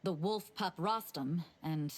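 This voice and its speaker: An actress playing a composed, grave woman in a video game, recounting knightly lore in a deliberate, projected, theatrical delivery. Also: fairly low pitch. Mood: grave, storytelling. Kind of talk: scripted video game dialogue.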